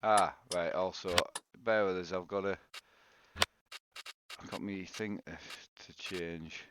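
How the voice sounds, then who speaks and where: A man's voice: deep voice, steady tone, slow. Tired-sounding man, streaming with a cold. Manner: chatty, greets viewers by name, lots of "right" and "bear with us". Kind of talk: live-stream gameplay chat. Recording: loud background noise.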